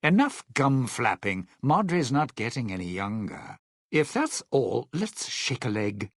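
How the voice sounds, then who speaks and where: Gravelly, sardonic old-time lounge schemer. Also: male voice, mid-range voice, very animated, measured pace, no room sound, clean studio sound. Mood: sly, sardonic. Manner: wry showman's patter in dated slang. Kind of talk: video game companion voice lines.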